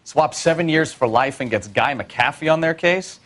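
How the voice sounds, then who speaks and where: A man's voice: fairly high pitch, animated, moderate pace, a bit bright. A man voicing a blunt, cynical police detective in a video game, with a sardonic manner. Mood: skeptical.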